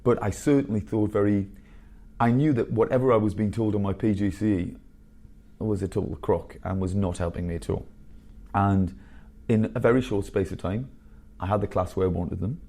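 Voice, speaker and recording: A man around fifty, a headmaster telling blunt, animated stories in a candid interview. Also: fairly low pitch, normal speed. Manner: blunt, animated storyteller with bursts of laughter.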